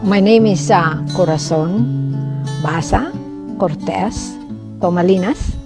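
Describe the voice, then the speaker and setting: A woman's voice: on the low side, slow, over loud music. A woman in her sixties with a Filipino accent, a nurse and community activist telling her personal story in an interview, earnest and emphatic, often tagging "right?". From Philippines (La Union, Ilocos region). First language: Ilocano. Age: 60s.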